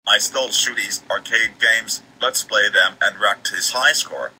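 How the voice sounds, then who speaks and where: A man's voice: on the low side, unhurried, heavy background noise. A man voicing a goofy character in a homemade cartoon. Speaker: guest voice actor in homemade cartoon. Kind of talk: amateur cartoon voice acting.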